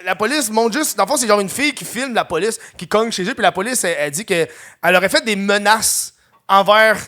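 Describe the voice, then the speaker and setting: A young adult man with a Quebec French accent hosts a live comedy podcast. He chats casually, laughs often and acts out quotes in loud mock voices. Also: high voice, fairly quick. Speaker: podcast host. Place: venue with a live audience.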